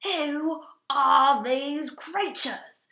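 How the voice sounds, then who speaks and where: A woman voicing a pompous, hot-tempered old duke who bellows and shouts. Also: mid-range voice, big pitch swings, unhurried. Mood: outraged. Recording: echoey room.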